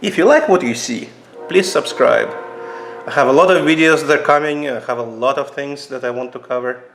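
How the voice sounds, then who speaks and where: A man with a Russian accent casually reviewing knives at a table for his channel, halting with many fillers and restarts. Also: medium pitch, a bit bright. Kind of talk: casual knife review vlog. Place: indoors at a table.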